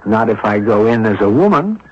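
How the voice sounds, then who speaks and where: An actor playing a bold, quick-witted amateur sleuth in a 1970s radio drama. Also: male voice, on the low side, big pitch swings, husky. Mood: confident, determined. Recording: dull 1970s radio broadcast recording.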